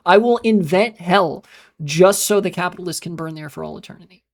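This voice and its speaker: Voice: medium pitch, brisk. An American live streamer in a casual, rambling monologue who swears often, trails off into filler sounds and answers chat messages. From United States.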